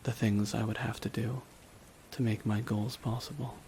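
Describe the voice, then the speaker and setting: A man playing a brooding, remorseless villain in a dramatic ASMR roleplay, speaking in a hushed, deliberate way with long pauses. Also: on the low side, close to an everyday voice. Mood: solemn, reflective. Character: brooding, remorseless male villain at his dying nemesis's side. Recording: steady rain noise behind the voice. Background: rain falling on a surface.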